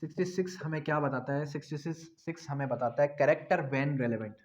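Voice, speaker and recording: A man giving an explanatory law lecture, repeating key phrases slowly and asking rhetorical questions in a didactic way. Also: fairly high pitch, a little mellow. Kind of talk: explanatory law lecture, mixing in English terms. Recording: dull, muffled lo-fi recording.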